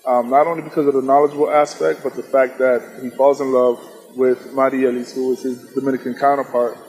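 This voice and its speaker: A man's voice: medium pitch, level tone, fairly quick, darkish tone, faint music behind. A young adult man answering interview questions as a stage actor, in an articulate, considered manner. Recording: very echoey hall.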